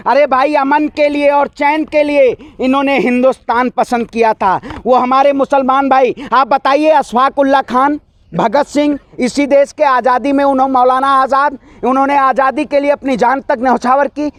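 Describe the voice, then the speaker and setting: High-pitched, combative man. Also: steady tone, normal speed, a bit bright, dry recording. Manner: combative, emphatic, firing rhetorical questions. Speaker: political speaker being interviewed. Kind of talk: heated street political rant.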